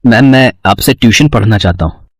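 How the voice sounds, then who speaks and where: A man's voice: fairly low pitch, a little fast, no echo, clean studio sound, natural voice. Man playing a hesitant, lovestruck male student. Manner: hesitant, flattering. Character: lovestruck twenty-one-year-old male college student, sly and pleading. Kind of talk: acted story dialogue.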